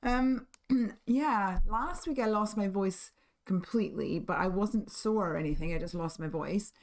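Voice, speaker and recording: A woman hosting a live crafting stream, husky and hoarse from losing her voice, chatting in a friendly way with many ums and small laughs. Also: medium pitch, a little slow, a little mellow. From United Kingdom. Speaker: craft stream host and shop owner.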